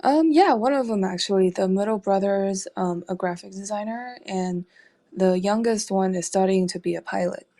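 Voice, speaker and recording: A young woman from Taiwan, an artist guest, talking in a relaxed way with hesitant fillers and easy laughs. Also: medium pitch, normal speed. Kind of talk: casual interview chat.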